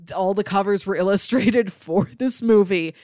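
A woman's voice: mid-range voice, normal speed, warm tone, no room sound, clean studio sound. A woman, a young adult, co-hosting a casual film discussion in an enthusiastic, explanatory way. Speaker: film podcast co-host.

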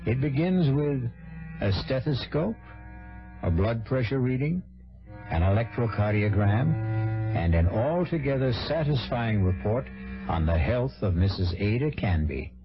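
Animated male vintage radio drama announcer. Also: medium pitch, measured pace. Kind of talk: dramatic scripted narration. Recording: dull vintage radio recording, faint music.

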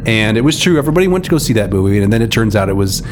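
A man's voice: on the low side, rapid-fire. Wry man in his thirties, noisy recording. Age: 30s. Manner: wry, self-mocking, casual swearing. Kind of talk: casual conversation. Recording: noisy recording with steady hiss.

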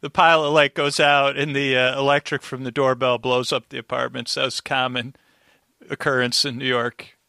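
A man chatting casually as a podcast guest, halting and often interrupting himself, close on the microphone. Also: mid-range voice, level tone, creaky, sharp tone, no echo. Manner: rambling, halting, self-interrupting. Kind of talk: casual recap podcast chat. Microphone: close, dry podcast microphone.

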